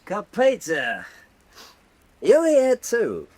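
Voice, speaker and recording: A man's voice: high voice, huge pitch swings, drawn-out words, darkish tone, dry recording, heavily acted. A man playing a world-weary painter who mocks himself and his colleagues wryly. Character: jaded, self-mocking male painter. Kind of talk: radio drama acting.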